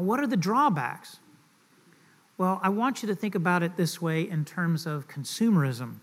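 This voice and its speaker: Middle-aged Southern male pastor, slightly higher voice, relaxed joking sermon style. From Southern United States. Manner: conversational and joking, asks the audience questions.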